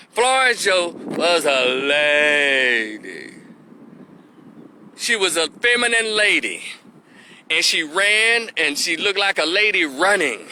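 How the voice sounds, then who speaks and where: A man's voice: a little high, noisy background. A man, hosting a casual opinion monologue and preaching his points with emphatic repetition. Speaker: commentator hosting a live opinion stream.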